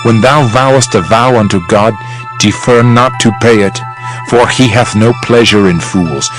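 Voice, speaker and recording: Solemn male Preacher, played by an actor for dramatized scripture audio: oratorical, measured, medium-pitched, faint music beneath. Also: natural voice, low-quality recording. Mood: solemn, admonishing. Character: solemn male Preacher reflecting on vanity and God. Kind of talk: dramatized King James Bible reading.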